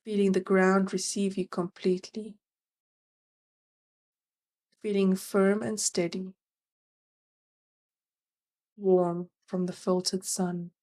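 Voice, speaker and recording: A woman narrating a guided meditation as its guide, gentle and soothing, leaving long pauses between phrases. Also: medium pitch, little pitch movement.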